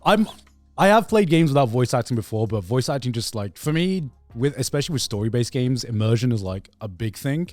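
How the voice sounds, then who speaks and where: A man's voice: medium pitch, very animated, fairly quick, darkish tone, no room sound, faint music behind. A man co-hosting a podcast, debating casually and repeating words as he works out his point. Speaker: podcast host.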